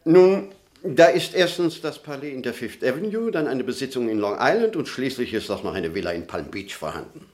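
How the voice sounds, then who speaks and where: An actor playing a formal, businesslike and impatient corporate emissary in a German radio play. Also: male voice, medium pitch, animated, a little fast, darkish tone, close to an everyday voice. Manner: formal, precise, businesslike, pressing. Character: brisk, formal, impatient male corporate emissary from New York.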